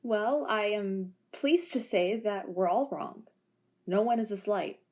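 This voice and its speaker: A woman's voice: mid-range voice, slow, a little mellow. A woman playing a sly policewoman who talks in a sly, teasing way in an amateur audio drama.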